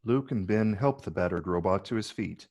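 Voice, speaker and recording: A man's voice: low voice, moderate pace, darkish tone. A man narrating scripted stage directions in a table read.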